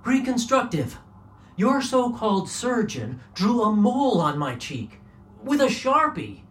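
An exasperated, animated bank robber. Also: male voice, high voice, a little slow. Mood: indignant. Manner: sarcastic, blunt, exasperated. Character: exasperated bank robber on the run, blunt and irritable. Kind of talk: comedic audio drama acting.

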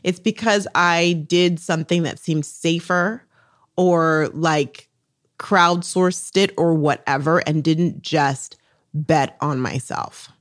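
Woman coach hosting her own podcast, a bit low-voiced, talks eagerly and circles around her points. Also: slow pace. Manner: enthusiastic, rambling, many restarts. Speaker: podcast host and social selling coach. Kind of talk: podcast chat.

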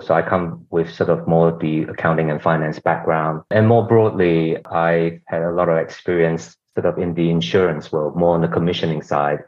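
Deep-voiced man from Singapore, dull audio. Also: level tone, normal speed, hardly any pauses. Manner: explanatory, with frequent "you know" and repeats. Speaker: guest, healthcare executive. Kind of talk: conversational interview answers.

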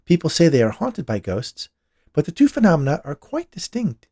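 A man's voice: fairly high pitch, huge pitch swings, moderate pace, mellow, no echo, clean studio sound, pitched above the performer's own voice, heavily acted. A man voicing a plump, unperturbed woman spirit medium with a lofty, knowing, explanatory manner in a storybook reading. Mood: knowing, lecturing.

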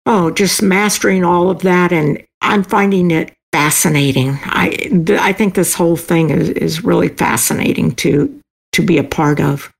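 A woman's voice: lowish voice, moderate pace, gravelly. An older woman chatting casually as an interview guest, enthusiastic and emphatic in how she talks. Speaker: podcast guest, author.

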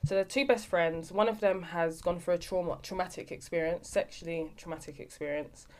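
A woman's voice: on the low side, steady tone, a little fast. A young woman, a podcast guest telling the hosts about her acting work in a casual chat and often restarting her sentences. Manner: talkative, restarts sentences while explaining.

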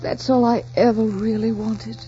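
A woman's voice: fairly high pitch, level tone, moderate pace, mellow, natural voice. An actress playing a proud, devoted lady in love in emotional 1940s radio melodrama style. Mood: tender, yearning. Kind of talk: 1940s radio drama acting. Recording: dull 1940s radio recording, music behind.

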